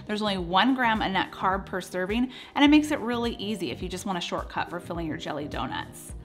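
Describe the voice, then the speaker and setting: A woman's voice: mid-range voice, animated, fairly quick, faint music behind. Upbeat woman narrating a home recipe. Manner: upbeat, playful asides. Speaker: cooking channel host. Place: home kitchen, indoors.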